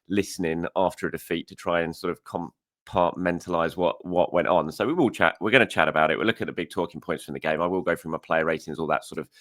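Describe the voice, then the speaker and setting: A man hosting a football reaction show in frank, chatty solo commentary, his voice croaky, often repeating words for emphasis. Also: deep voice, fast, a bit bright, no room sound, clean studio sound.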